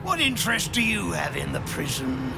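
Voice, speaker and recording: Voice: mid-range voice, very animated, normal speed, vocal fry. An actor voicing a cruel, sardonic spirit who was once a prison keeper, in a video game cutscene, speaking in a mocking drawl. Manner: mocking, drawling, with sneering laughs. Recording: music underneath.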